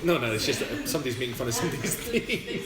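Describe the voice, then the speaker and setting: A man's voice: mid-range voice, very animated. A man co-hosting a casual live chat, reading out viewer questions in a jokey way. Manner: jokey, quick to laugh. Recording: roomy sound with loud music behind. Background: loud music.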